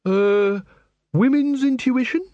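Perceptive female watch constable, tentative, higher-pitched played by male narrator, fantasy audiobook, dry sound. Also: animated, brisk, husky, no echo, highs cut off.

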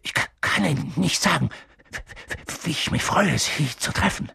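Breathy, stammering, timid professor. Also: male voice, on the high side, lively intonation, normal speed, sharp tone, no echo, studio quality, exaggerated voice. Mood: nervous, fearful. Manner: timid, muttering, hesitant. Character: nervous, fearful, pale young male professor. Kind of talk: audiobook character voice.

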